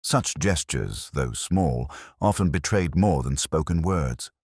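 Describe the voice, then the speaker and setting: A man narrating a scripted mystery story as an audiobook, in a measured, formal storytelling manner. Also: rumbling low, expressive, darkish tone, breathy edge, dry recording, studio quality.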